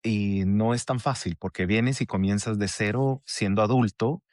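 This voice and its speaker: A man with a low voice hosts a relaxed podcast conversation. He talks in a reflective, easygoing way and often chimes in with short affirmations. The recording is dry and close, with no room sound. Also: moderate pace, dry recording. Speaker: podcast host. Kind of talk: casual interview chat.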